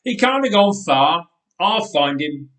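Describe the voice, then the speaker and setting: A man's voice: high-pitched, sing-song, unhurried, fairly bright tone, full character voice. Man doing a whiny cheeky young boy. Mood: eager. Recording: roomy church echo.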